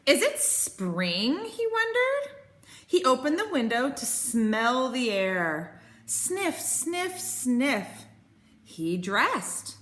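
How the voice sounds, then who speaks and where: A woman in her thirties reading a picture book aloud as a teacher, expressive and sing-song, explaining simply for children. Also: sits high, very slow. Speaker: teacher reading to young pupils.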